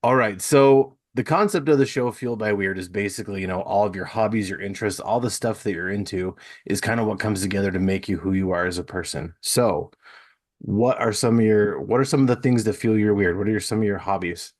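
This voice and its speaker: A man's voice: fairly low pitch, fairly quick, no room sound, clean studio sound. A man hosting a podcast, talking in a chatty, informal interview style. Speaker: podcast host. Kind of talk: casual conversational interview.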